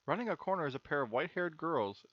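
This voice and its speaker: A man's voice: on the high side, animated, fast, pitched above the performer's own voice. A man playing an easygoing schoolboy protagonist who narrates a visual novel. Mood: narrating. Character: teenage student narrator-protagonist, easygoing and teasing boy. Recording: dull lo-fi mic, faint music behind.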